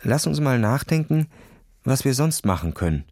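A man's voice: mid-range voice, very animated, moderate pace, a little mellow, no room sound, clean studio sound. A man voicing an anxious, cautious schoolboy who pleads urgently in a children's audio story. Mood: urgent objecting.

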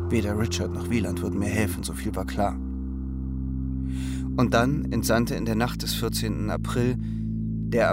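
A man's voice: lowish voice, vocal fry. Urgent, desperate male double agent. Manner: urgent, pleading. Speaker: narrator and lead character. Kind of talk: radio crime drama acting.

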